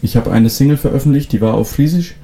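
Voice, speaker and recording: Young Frisian man, dark mellow voice. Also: medium pitch, fast. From Föhr, northern Germany. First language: North Frisian. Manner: relaxed, many fillers and restarts. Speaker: musician guest. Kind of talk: casual interview answers.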